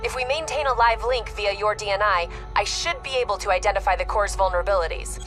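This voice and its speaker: An actress playing a commanding intelligence operative in a video game, clipped and authoritative in delivery. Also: female voice, mid-range voice, quick pace, fairly bright tone, faint music behind, close to an everyday voice. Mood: focused, firm. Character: urgent, commanding female intelligence operative guiding soldiers. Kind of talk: dramatic video game acting.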